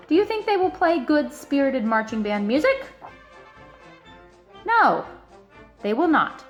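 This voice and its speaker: A woman reading a children's picture book aloud in an expressive, emphatic way, stretching out key words. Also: on the high side. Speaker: storybook reader.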